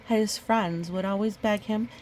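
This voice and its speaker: Slow-paced woman reading a picture book. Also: mid-range voice, a little hiss or hum, low-quality recording. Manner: chatty, expressive storytelling with playful sound effects. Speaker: storybook reader. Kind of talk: casual picture-book read-aloud with chatty asides.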